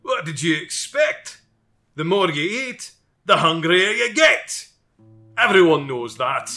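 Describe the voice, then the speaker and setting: A man voicing an impatient male math wizard who lectures loudly and commandingly, with faint guitar music behind. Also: on the high side, very animated, slow, voice pushed up, heavily acted.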